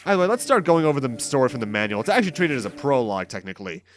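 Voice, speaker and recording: A man's voice: mid-range voice, big pitch swings, rapid-fire, slight background noise. A man giving let's play commentary as host, rambling through explanations with dry asides. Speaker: gameplay host. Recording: game music under the voice. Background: video game music.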